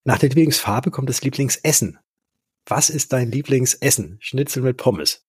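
A man's voice: medium pitch, expressive, moderate pace, darkish tone, breathy edge, dry recording, clean studio sound. A man hosting a podcast interview, asking questions in an upbeat, conversational way. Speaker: podcast host.

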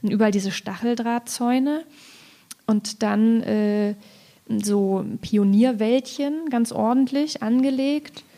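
A woman's voice: on the high side, takes it slowly, dark tone. A woman speaking as a poet in an informal literary conversation, thinking aloud in a reflective way. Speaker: poet in discussion.

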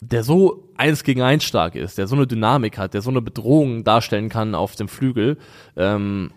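A man co-hosting a football podcast, giving measured analysis with frequent pauses and fillers. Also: fairly low pitch. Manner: measured, hesitant with frequent ums. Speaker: podcast co-host. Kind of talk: casual football analysis chat.

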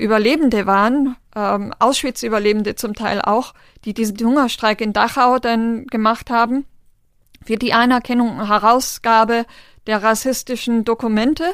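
A woman answers interview questions in an earnest, measured way, pausing with small fillers as she gathers her thoughts. Her voice sits a little high and sounds smooth and clear. The recording is dry and close. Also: no echo. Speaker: interview guest.

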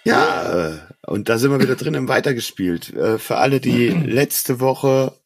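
A man's voice: medium pitch, lively intonation, a little slow, slight background noise. A man hosting a podcast in a casual, improvised way. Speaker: podcast host.

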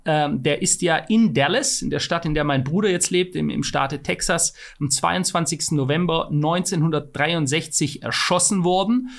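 A man co-hosting a casual podcast, with a gravelly, fry-laden voice. He argues emphatically, and his pitch jumps up whenever he drives a point home. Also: a bit bright. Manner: emphatic, argumentative, rising pitch when stressing points. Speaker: podcast co-host.

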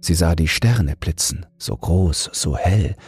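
A man with a soft, breathy voice narrating a fairy tale as a bedtime audiobook. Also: low-pitched, slow. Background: soft music.

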